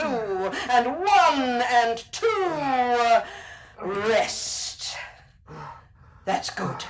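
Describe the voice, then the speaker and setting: A woman playing a zealous, bossy exercise instructor of an authoritarian state in a radio drama, brisk and hectoring. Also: fairly high pitch, poor audio. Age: 30s.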